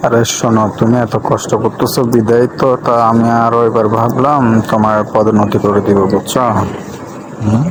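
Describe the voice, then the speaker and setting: A man's voice: medium pitch, fairly even intonation, brisk. A man with a Bangladeshi accent talks casually and intimately on a phone call, coaxing and reassuring, often ending phrases with a questioning hum. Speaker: boss on a private phone call.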